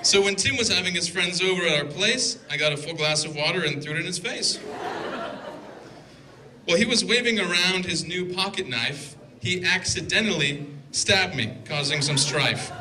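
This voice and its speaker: Young guy doing a best man toast, slightly high voice, dry teasing jokes, noisy reception room. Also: expressive. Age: 20s. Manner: dry, teasing delivery with pauses for laughs. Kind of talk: wedding toast read from notes. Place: wedding reception hall, indoors. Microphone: handheld microphone. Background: guests laughing.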